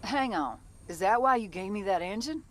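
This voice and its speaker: A woman's voice: expressive, normal speed, slight background noise, lightly acted. An actress playing a guarded, war-weary woman mech pilot in a video game, speaking in a terse, dry way. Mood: friendly, wry. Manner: terse, low-key, dry. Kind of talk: video game cutscene acting.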